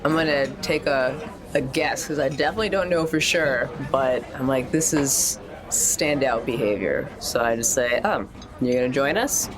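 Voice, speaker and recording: A woman's voice: very deep voice, moderate pace, over music. A woman playing a tabletop game, talking casually in a rambling, jokey, slightly hesitant way. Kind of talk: casual in-character and descriptive game chat.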